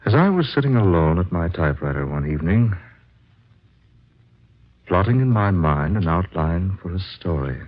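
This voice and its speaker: An actor playing an earnest, troubled male fantasy writer in a 1940s radio drama, speaking in a deliberate, brooding way. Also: sits low, big pitch swings. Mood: solemn, confiding. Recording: muffled, noisy vintage radio recording.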